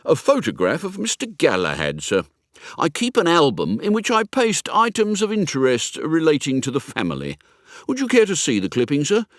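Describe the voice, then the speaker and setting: A man's voice: a little high, huge pitch swings, normal speed, no echo, studio quality, pitched above the performer's own voice. A man voicing a dignified, austere English butler with a formal, deferential manner in a comic audiobook. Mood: measured, confiding. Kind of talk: comic audiobook character acting.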